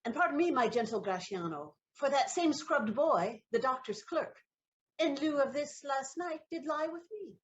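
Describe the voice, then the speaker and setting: A woman's voice: high voice, lively intonation, moderate pace, lo-fi sound. A woman playing a saucy, teasing young waiting-woman in a Shakespearean audio play.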